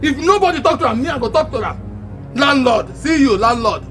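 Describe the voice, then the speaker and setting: Male actor as brash Nigerian drinker-tenant for comedy drama: very high voice, mouthy, taunting, animated. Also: normal speed, soft background music. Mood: angry, mocking. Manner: loud, taunting, repetitive ranting. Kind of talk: Pidgin comedy drama acting.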